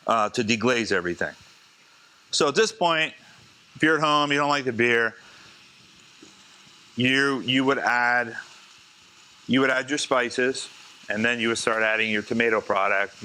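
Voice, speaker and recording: A man, a chef, teaching a live cooking demonstration in a casual, chatty way, slightly hoarse as he is losing his voice. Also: medium pitch, sharp tone, poor audio. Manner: casual, chatty, talks to the class.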